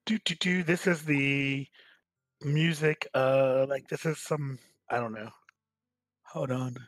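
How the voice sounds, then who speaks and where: A man's voice: mid-range voice, steady tone, slow, fairly bright tone, dry recording, soft background music. A man chatting casually with fellow readers over an online voice call during a staged reading. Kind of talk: casual chat.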